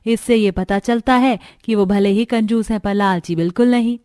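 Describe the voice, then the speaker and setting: A woman's voice: medium pitch, moderate pace, a little mellow, smooth, dry recording, clean studio sound, close to an everyday voice. A woman voicing a poor, middle-aged mother in a cartoon story, earnest and fretful. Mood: earnest, resigned. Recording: music under the voice.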